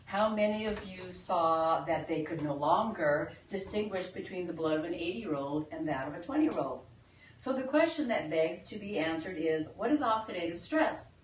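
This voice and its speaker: An older woman speaks earnestly to a small meeting, her lowish voice stopping often for ums and uhs. She sounds far from the mic in an echoey room with a faint hum of ambience behind her. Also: expressive. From Philippines. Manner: halting, many fillers, earnest. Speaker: presenter at a sales meeting. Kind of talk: informal presentation.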